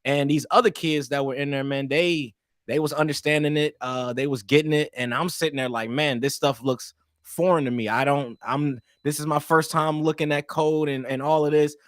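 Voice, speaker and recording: A man's voice: on the high side, moderate pace, no room sound, studio quality. Laid-back man from East St. Louis. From East St. Louis, Illinois. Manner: relaxed, rambling, peppered with "man" and restarts. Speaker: guest. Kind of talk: casual interview storytelling.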